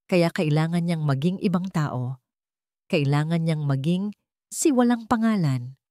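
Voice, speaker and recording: A woman's voice: low voice, animated, unhurried, warm tone, no echo, clean studio sound. A woman with a Filipino accent reading a scripted dramatic story as its narrator.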